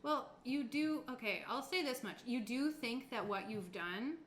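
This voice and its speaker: A woman's voice: fairly high pitch, lively intonation, measured pace, fairly bright tone. Woman game master in an echoey room. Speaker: game master (Keeper) of a tabletop game. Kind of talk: improvised tabletop game narration, casual. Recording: roomy echo with clear background noise.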